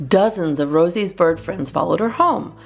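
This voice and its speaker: A woman narrating a children's picture book as a storyteller, in an expressive scripted reading. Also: lowish voice, moderate pace, creaky, soft background music. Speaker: children's storyteller, channel host.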